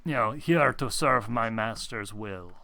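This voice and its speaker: Guy doing a demon minion in a tabletop game, brainwashed, formal and obedient, clear bright voice. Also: on the low side. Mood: stiffly obedient. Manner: formal, measured phrasing. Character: brainwashed demon servant, stiffly obedient to its master.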